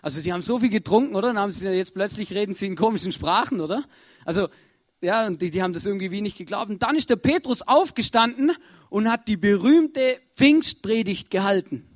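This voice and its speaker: High-voiced man giving a casual Bible talk. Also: animated, a little fast, dry recording, studio quality. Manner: chatty, checks in with listeners. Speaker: youth Bible teacher.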